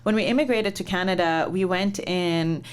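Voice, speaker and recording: A woman from Lebanon with a slightly low voice tells her life story in an interview. She talks in a measured, reflective way, pausing often and filling gaps with soft hesitations. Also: clean tone. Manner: measured, reflective, many pauses and fillers. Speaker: guest, startup founder and investor.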